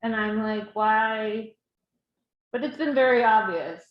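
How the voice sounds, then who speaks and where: A woman's voice: on the high side, slow. Woman on a roomy, dull-sounding line. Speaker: author. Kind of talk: casual interview chat.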